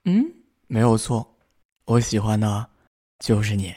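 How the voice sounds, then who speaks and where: A man's voice: lowish voice, sing-song, a little slow, dark tone, husky, exaggerated voice. A man playing a young boyfriend in a romantic radio drama, speaking tenderly as he courts his sweetheart. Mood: tender, amused. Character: young boyfriend gently confessing his love.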